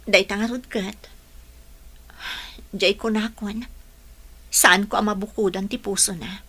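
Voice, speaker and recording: A woman's voice: medium pitch, steady tone, measured pace, pushed and bright. A woman playing a lovelorn, determined young bride in a radio drama, earnest and pleading. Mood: confiding, wistful. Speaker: audio drama actor.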